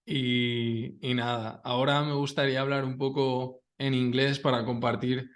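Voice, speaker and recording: A man's voice: medium pitch, level tone, a little slow. A man, a language coach, interviewing a client and encouraging him with short backchannels. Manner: encouraging, frequent brief backchannels. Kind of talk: interview host.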